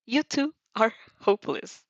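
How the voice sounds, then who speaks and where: A woman puts on a teasing teenage girl for a story reading. She is outspoken and huffy, pitched a bit high with lively swings. The recording is slightly dull. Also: unhurried, gravelly, no room sound, full character voice.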